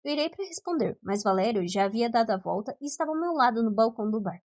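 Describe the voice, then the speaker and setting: A woman with a Brazilian accent narrating first-person fiction from a script as an audiobook reader, with expressive storytelling. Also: a little high, fast talker, dry recording, clean studio sound.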